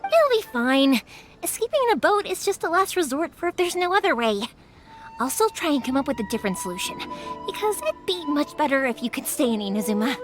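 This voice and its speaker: A bright, high and very lively young fireworks maker. She is upbeat, chatty and caring, and game music plays behind her. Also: fairly quick. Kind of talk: video game voice acting. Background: game background music.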